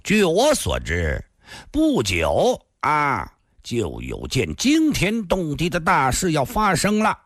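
A man's voice: fairly high pitch, wildly swooping pitch, fairly bright tone, dry recording, studio quality, pitched above the performer's own voice, exaggerated voice. A man voicing a cold, sneering martial artist in an audiobook, stretching out each word with menace. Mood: ominous. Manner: slow, word-by-word, deliberate delivery.

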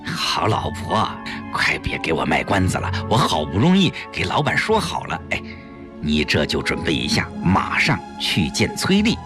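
A man acts out an eager, boastful husband in an audiobook drama. He speaks low, in an urgent, coaxing way with big swings of pitch. Music sits clearly behind the words. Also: huge pitch swings, moderate pace. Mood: coaxing, excited. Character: eager, boastful husband, scheming henchman anxious to please.

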